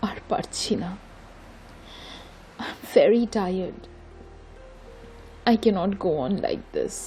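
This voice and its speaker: A woman's voice: medium pitch, normal speed, mellow, faint music behind, close to an everyday voice. A woman with an Indian Bengali accent playing a weary, caring mother confiding in an old friend in an audio drama, switching between English and Bengali. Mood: weary, troubled. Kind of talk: bilingual audio drama acting.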